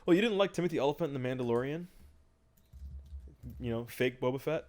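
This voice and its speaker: A man's voice: medium pitch, expressive, fast talker, darkish tone. A man co-hosting a podcast in loose, conversational chat, enthusiastic about his favourites. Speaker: podcast co-host. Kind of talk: casual chat about movies.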